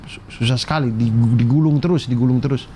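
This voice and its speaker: A man's voice: medium pitch, fast talker, warm tone. Guy hosting a chill chat, keeps asking short curious questions, bit of hiss behind. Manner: curious, asks many short follow-up questions. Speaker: host. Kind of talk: casual interview chat.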